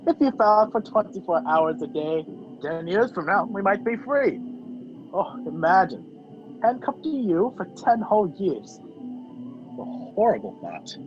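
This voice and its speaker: A man's voice: sits high, sing-song, unhurried. A young man acting a wisecracking, sarcastic fugitive in an amateur radio play. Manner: dry sarcasm. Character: wisecracking fugitive man, sardonic, handcuffed to a woman. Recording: dull lo-fi video call audio. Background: music.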